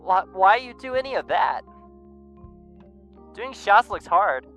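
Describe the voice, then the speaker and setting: A playful young male animal character from a visual novel, acted out by a man. His voice sits high and bright, with a teasing, slightly tipsy air. Faint music plays behind him. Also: lively intonation, slow pace, exaggerated voice. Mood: puzzled.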